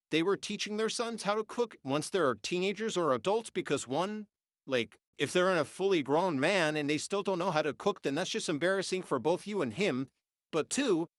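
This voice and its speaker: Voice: medium pitch, no room sound, studio quality. A nineteen-year-old vlogger, congested and sniffling, ranting heatedly and swearing through an AI voice filter. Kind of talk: unscripted rant.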